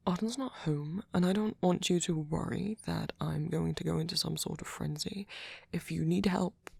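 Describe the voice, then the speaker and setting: A man playing a tender, fretful vampire boyfriend in an ASMR roleplay, speaking softly and hesitantly, often close to a whisper. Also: on the high side, dry recording, full character voice. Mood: worried, tender. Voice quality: breathy, often near whisper. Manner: soft-spoken, hesitant, stammering, tender. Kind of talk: ASMR roleplay audio drama.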